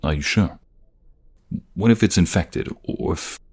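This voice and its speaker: A man playing a protective, teasing ex-mobster devoted to his love in an intimate ASMR roleplay, soft and breathy. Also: deep voice, a little slow, no room sound. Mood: worried, grateful. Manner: soft and intimate, breathy, often dropping to a whisper. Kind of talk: ASMR romantic roleplay acting.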